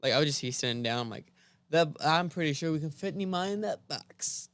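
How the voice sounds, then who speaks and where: A man's voice: on the high side, lively intonation, fast talker, fairly bright tone, no echo. A teenage boy co-hosting a casual homeschool podcast, goofy and jokey, fond of saying random things. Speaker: podcast co-host. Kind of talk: casual chat.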